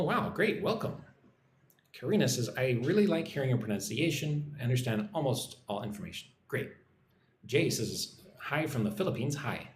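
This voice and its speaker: A man with an American accent hosting a casual English-teaching livestream, reading out comments and greeting viewers in an informal, chatty way. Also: medium pitch. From United States. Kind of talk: casual live chat, reading out and answering comments. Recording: roomy echo, faint background noise.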